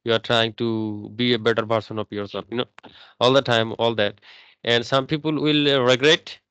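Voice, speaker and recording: Man, smooth and close. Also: medium pitch, steady tone, fairly bright tone, no room sound. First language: Bengali. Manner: halting, many restarts and fillers. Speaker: English learner. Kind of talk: casual English practice chat.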